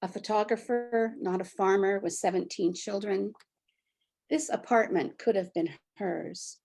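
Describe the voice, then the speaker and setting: A Canadian woman in her sixties reads her own poetry, with a short personal introduction first. Her voice is husky with a gravelly creak, and its tone is mellow and dark. She reads in a reflective, unhurried, measured way. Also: mid-range voice, fairly even intonation. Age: 60s. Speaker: poet. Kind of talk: poetry reading with spoken introduction. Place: near the Fraser River, British Columbia.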